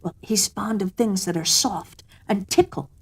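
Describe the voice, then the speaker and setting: A woman's voice: medium pitch, unhurried, breathy edge. A woman voicing a little gossipy bat messenger in a storybook, informative and courteous. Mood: confiding.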